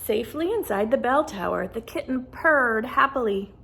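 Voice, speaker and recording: A woman reading a children's storybook aloud in a deliberate, animated storytelling manner. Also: fairly high pitch, vocal fry, slight background noise. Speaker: storybook reader. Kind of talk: expressive children's read-aloud narration.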